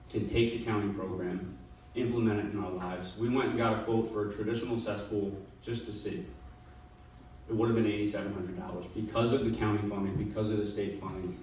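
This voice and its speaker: A low-voiced man gives a persuasive talk to a public meeting. He keeps the tone earnest and keeps checking in with the audience. The sound comes from far off in a very echoey hall. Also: darkish tone, slight background noise. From Long Island. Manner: earnest, persuasive, repeats "right?". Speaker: panel speaker. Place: meeting room.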